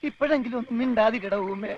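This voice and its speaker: A man playing a foolishly scheming sidekick in a film comedy, with earnest rapid patter. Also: very high-pitched, highs cut off, crowd noise. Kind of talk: Malayalam film comedy dialogue.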